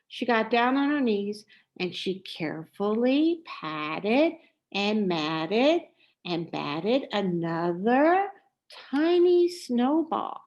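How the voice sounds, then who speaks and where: A woman reading a children's story aloud in a deliberate, expressive way. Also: mid-range voice, muffled recording. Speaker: storybook reader.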